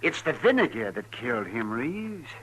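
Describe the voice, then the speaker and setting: An actor playing a crusty, irascible frontier town doctor, blunt and husky, in a 1950s radio western. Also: male voice, mid-range voice, very animated, normal speed, noisy background, natural voice. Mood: grave, deducing. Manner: blunt, scolding.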